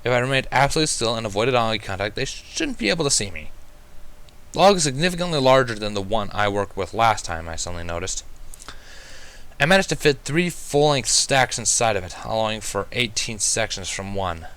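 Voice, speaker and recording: A man's voice: on the low side, very animated, brisk, a bit bright, clear, resonant tone. A man reading a first-person story aloud as an amateur narrator, in a wry, dry manner. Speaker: amateur fan fiction reader.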